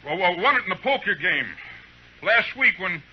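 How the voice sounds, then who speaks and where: Desperate high-pitched cornered outlaw. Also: male voice, animated, normal speed, breathy edge. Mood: panicked. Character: cornered male outlaw gambler, desperate and defiant. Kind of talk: 1950s radio western acting. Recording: muffled old radio recording.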